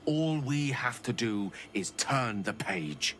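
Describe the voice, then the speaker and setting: Fancy articulate game wizard, bright voice, lots of swing. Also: male voice, medium pitch, expressive, slow, a little hiss or hum. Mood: intrigued. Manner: articulate, theatrical. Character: eloquent male wizard, refined and ambitious.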